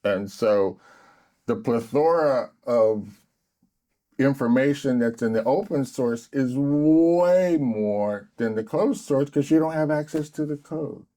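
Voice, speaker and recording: A man as a podcast guest, talking at an ordinary middle pitch with a warm, mellow tone. He explains things patiently and keenly to a friend, wandering off into tangents. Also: takes it slowly. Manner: patient, enthusiastic explainer who drifts into tangents.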